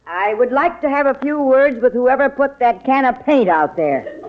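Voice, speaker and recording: Folksy grumbling housekeeper, fairly high voice, dry delivery, vintage radio comedy-drama with hissy sound. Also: female voice, lively intonation, moderate pace. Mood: dry indignation. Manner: dry, folksy, homespun phrasing. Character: folksy, grumbling housekeeper, Miss Bixby, quick to complain. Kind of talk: old-time radio comedy-drama acting. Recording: dull, noisy old radio recording.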